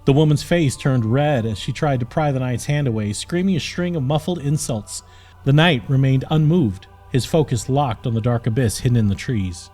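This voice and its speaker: A man reading a fantasy audiobook as narrator, in an expressive, scripted storytelling style. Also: mid-range voice, normal speed, faint music behind.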